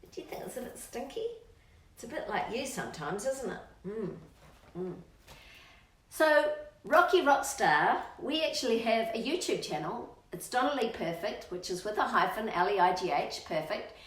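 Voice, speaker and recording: A woman's voice: on the high side, animated, moderate pace, a bit bright. A woman reading aloud to children in a playful, sing-song storybook style, drawing out words for emphasis and chatting to her pet. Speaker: children's storytime reader and channel host. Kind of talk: expressive storybook read-aloud with asides to a pet dog. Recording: roomy echo, distant microphone.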